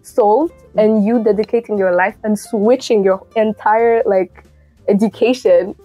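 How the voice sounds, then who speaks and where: A woman's voice: medium pitch, fairly even intonation, measured pace, warm tone, soft background music. A young woman hosting a podcast, chatty and admiring toward her guest. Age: young adult. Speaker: podcast host. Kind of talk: podcast interview and outro.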